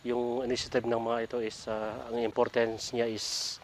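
A middle-aged Filipino-accented man chats while sorting books outside, pausing often with fillers as he reads titles aloud. His voice sits mid-range, picked up by a camera standing some way off, with a faint hiss in the background. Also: mid-range voice, completely flat delivery. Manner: chatty, reading out titles with frequent fillers. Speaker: vlogger sorting donated library books. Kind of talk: casual vlog talk mixing English and Tagalog. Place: outdoors beside a building.

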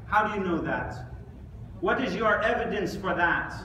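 A man in his thirties giving an Islamic sermon in an emphatic, deliberate way, with rhetorical questions and pauses. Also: high voice, expressive, pushed and bright. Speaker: preacher giving an Islamic lecture. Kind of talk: sermon with quoted Arabic recitation. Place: large mosque prayer hall, indoors. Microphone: distant camera or phone. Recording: echoey hall from far off, faint noise.

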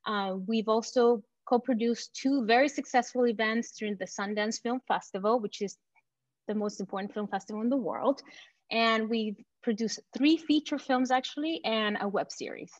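A woman from Venezuela presenting her company in an enthusiastic, confident startup pitch. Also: moderate pace, no room sound, dull audio. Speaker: company founder pitching to investors.

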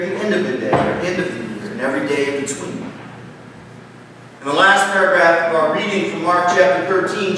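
A man's voice: high-pitched, noisy background. A pastor in his thirties giving a sermon at a pulpit, conversational and emphatic, with rising lists and gestures. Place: large church sanctuary, at a pulpit. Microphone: pulpit mic, filmed from far camera. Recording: echoey church hall from far off.

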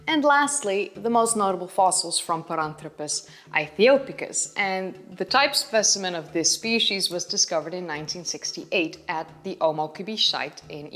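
A young woman in her twenties with a Dutch accent, chattily explaining history to camera as a channel host in her living room. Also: mid-range voice. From Netherlands. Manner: chatty, explaining with hand gestures. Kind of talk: educational video talk to camera.

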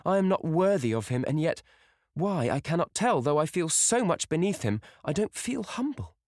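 A man's voice: fairly high pitch, sing-song, moderate pace, a little mellow, no echo, studio quality, pitched above the performer's own voice. A man voicing a young girl in love, eager, proud and pleading, in a breathless way. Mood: yearning.

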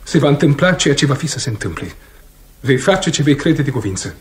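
An actor in a 1950s radio drama playing a young idealistic journalist who speaks coldly and measuredly. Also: male voice, medium pitch, sing-song, natural voice. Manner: cold, measured, principled.

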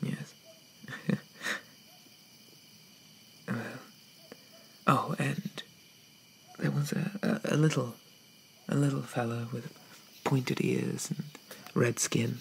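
A man playing a shy, apologetic young zombie in an ASMR roleplay, with hesitant, stammering, old-fashioned politeness and a soft whisper. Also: mid-range voice, level tone, very slow, long pauses, a little hiss or hum, rough recording. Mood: timid wonder. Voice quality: soft, breathy whisper with creaky edges.

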